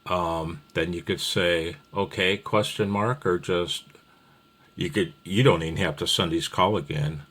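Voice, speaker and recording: A man with a distinctly low voice teaches in an informal, rambling way. He takes his time, speaking slowly and thinking aloud. His manner is folksy and a little apologetic, like a hobbyist walking a beginner through the basics. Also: fairly even intonation, a bit bright, slightly rough. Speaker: amateur radio hobbyist teaching Morse code.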